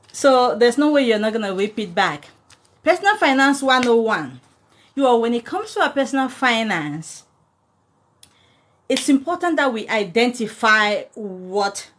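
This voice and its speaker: A woman from Cameroon in her thirties, chatting casually to viewers about money and thanking them by name as she goes. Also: fairly high pitch. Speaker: personal finance content creator. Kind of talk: casual livestream talk to viewers.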